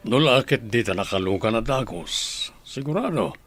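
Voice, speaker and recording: A man with an Ilocano accent and a husky voice playing a confident, scheming village father in a radio comedy drama. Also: mid-range voice, animated, moderate pace, a bit bright, faint music behind. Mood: assured, planning.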